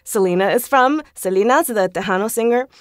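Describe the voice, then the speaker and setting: A woman's voice: mid-range voice, a little fast, no room sound, clean studio sound. A woman from South Texas chatting casually and playfully as a podcast guest, prone to giggling. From South Texas, United States. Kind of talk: casual chat.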